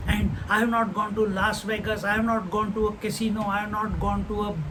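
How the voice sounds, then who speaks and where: An older man gives a scripture class in a fairly high voice, asking his listeners question after question. A faint hiss of noise sits under his voice. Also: flat delivery. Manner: unhurried, posing rhetorical questions; chants Sanskrit verses slowly. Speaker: spiritual teacher.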